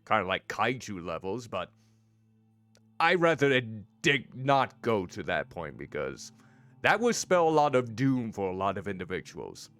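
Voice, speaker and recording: A man streaming a story game as a VTuber, chatting with his viewers in a playful, teasing way with a deep voice. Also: very animated, measured pace, a bit bright, dry recording, faint music behind. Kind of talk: casual gameplay commentary.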